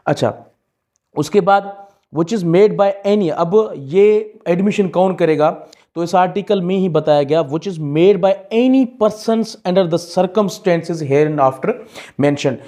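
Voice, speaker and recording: A man's voice: fairly high pitch, a little mellow. A man with a Pakistani accent explaining as a law lecturer, in a didactic manner that repeats key phrases. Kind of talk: explanatory law lesson mixing Urdu and English.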